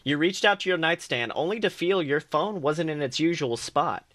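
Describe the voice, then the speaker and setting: A man's voice: fairly high pitch, moderate pace, a bit bright, dry recording. A man co-hosting a podcast, reading second-person fan fiction aloud earnestly, with small stumbles and restarts.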